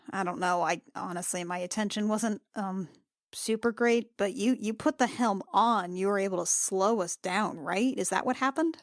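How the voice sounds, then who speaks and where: A woman's voice: medium pitch, moderate pace, no echo, lightly acted. Woman playing Jill, a questioning, blunt adventurer, dry sound. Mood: shaken, puzzled.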